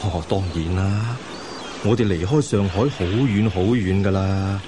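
A man's voice: deep voice, unhurried, background noise. A man in a radio drama playing a dignified middle-aged official, an indulgent husband. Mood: patient, explaining. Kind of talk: Cantonese radio drama acting. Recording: radio broadcast with music underneath.

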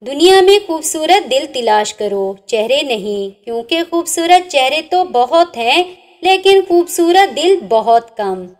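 Female narrator, high and melodic, for slow recitation of religious sayings with gently falling line endings. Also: sing-song. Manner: measured recitation, each saying ends on a dropping, softer note. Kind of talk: reading inspirational religious sayings over slides.

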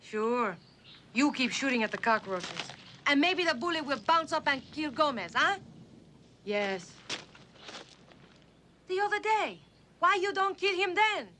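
A woman's voice: high-pitched, sing-song, a little slow, pauses often, bright, forceful tone, slight background noise, full character voice. An actress playing a fiery, bitter cantina girl who speaks in broken English, in an old screen drama. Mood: scornful, challenging. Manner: broken, non-native English phrasing. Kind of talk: dramatic mid-century screen acting.

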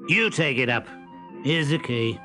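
A man's voice: medium pitch, lively intonation, a little slow, faint music behind, natural voice. A man reading a storybook aloud, voicing a lazy, rude male servant who snaps dismissively. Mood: snappish. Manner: snappish, dismissive.

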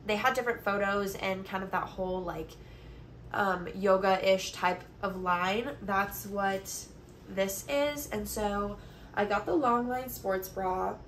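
A woman's voice: medium pitch, fairly even intonation, takes it slowly, fairly bright tone. Congested young woman chatting, noisy phone recording. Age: 20s. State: congested from allergies. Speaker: vlogger. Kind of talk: casual haul chat. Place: bedroom, indoors. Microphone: phone camera at about arm's length or further. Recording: noticeable background noise, phone recording.

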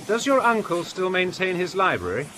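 An actor playing a reserved, bookish young English student, polite, dry and a little hesitant, in a radio drama. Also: male voice, sits high, big pitch swings, moderate pace, pushed and bright, a little hiss or hum, heavily acted. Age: young adult.

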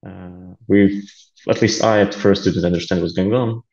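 A man's voice: sits low, steady tone, normal speed, a little mellow, lo-fi sound. A young man with a Latvian Russian accent, speaking earnestly on a video call interview, with many ums and uhs. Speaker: interview guest, aid volunteer. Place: small room indoors. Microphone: laptop camera video call.

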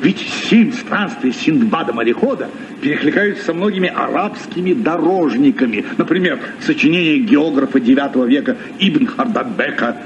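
Guy playing a know-it-all castaway adventurer, lively, a bit high, muffled old radio. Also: moderate pace, recorded from a distance, close to an everyday voice. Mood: hearty, instructive. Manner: didactic, emphatic. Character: learned castaway adventurer, hearty, explaining to a youngster. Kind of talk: vintage Soviet radio play acting. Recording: muffled, slightly noisy old radio recording.

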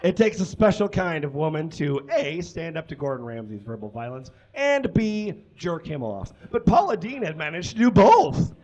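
A man's voice: a little high, very animated, normal speed, few pauses, darkish tone. A young man reading a story aloud on a small stage in a theatrical, mock-serious comic style with ad-libbed asides, picked up by a camera a few metres away. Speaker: live comedy reader. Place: small indoor stage with curtains.

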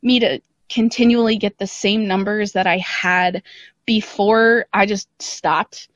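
A woman's voice: medium pitch, steady tone, measured pace, no room sound. A woman chatting casually and informally as a podcast guest over a remote call. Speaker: podcast guest, audio drama creator. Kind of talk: casual interview chat. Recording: slightly dull lo-fi call audio.

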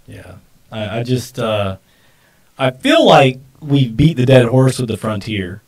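Guy early 40s chatting off the cuff on a livestream, normal pitch, bit far from the mic. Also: very animated, slow pace, darkish tone. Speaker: live stream co-host. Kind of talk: casual off-the-cuff live stream chat. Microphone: desk microphone.

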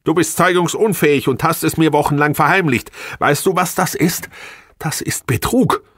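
An angry, demanding estranged wife in an audiobook, acted out by a man. The voice is lifted high above a natural male register, loud and biting. It bristles with indignation and stresses every accusation, recorded very dry. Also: expressive, moderate pace, breathy edge, dry recording. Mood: indignant, hysterical. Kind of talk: audiobook character dialogue.

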